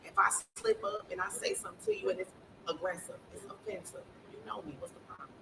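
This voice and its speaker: A woman in a casual group discussion with a deep, aggressive-sounding voice, talking bluntly and insistently with plenty of swearing. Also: wildly swooping pitch, fast talker. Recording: distant mic with clear background noise.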